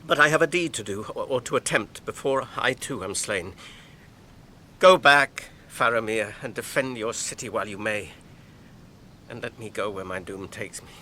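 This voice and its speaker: Frightened yet determined hobbit, tense bright tone, lowish pitch, earnest formal speech, fantasy radio drama, noisy rumbly recording. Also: male voice, moderate pace. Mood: desperate pleading. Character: weary, fearful but determined hobbit on a doomed quest.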